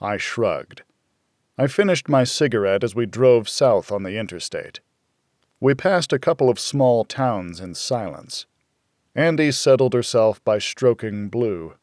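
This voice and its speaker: A man's voice: medium pitch, expressive, a little slow, darkish tone, no echo, clean studio sound. An American man reading scripted first-person noir thriller narration as an audiobook narrator.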